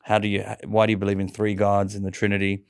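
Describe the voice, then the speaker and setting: A man's voice: lowish voice, completely flat delivery, fast talker, smooth, no echo, studio quality. A middle-aged man from Sydney with an Australian accent, telling his own story conversationally as an interview guest.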